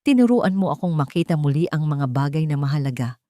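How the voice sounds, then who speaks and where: A woman's voice: low-pitched, expressive, brisk, mellow, no echo, clean studio sound. A woman with a Filipino accent voicing a sincere, serious millionaire father in love in an audio storybook. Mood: confiding. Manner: earnest, serious.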